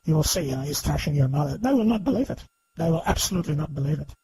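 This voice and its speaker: A man giving unscripted, argumentative commentary in a halting but emphatic way, repeatedly seeking agreement. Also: on the high side, animated. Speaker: online video commentator. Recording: faint background noise.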